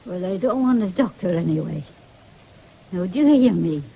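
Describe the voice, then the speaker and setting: A woman's voice: lowish voice, animated, moderate pace, vocal fry, dull audio, slight background noise. An actress playing an ailing, stubborn, fretful country mother with a rural English accent in a 1970s radio drama.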